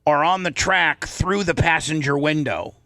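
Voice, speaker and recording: A man's voice: fairly high pitch, moderate pace, bright, forceful tone, dry recording. A man hosting a talk show, telling a story casually and animatedly, often repeating phrases for emphasis.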